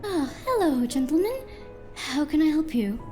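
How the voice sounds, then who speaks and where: A woman's voice: high-pitched, sing-song, moderate pace, dark tone, soft background music. A woman playing a polite, uneasy owner of a small diner in an audio drama. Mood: politely welcoming. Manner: polite, hesitant.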